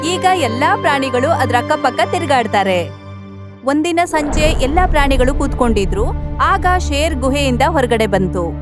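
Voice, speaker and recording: A woman with a Kannada accent narrating a cartoon story in a lively, expressive way. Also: high voice, normal speed. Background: background music.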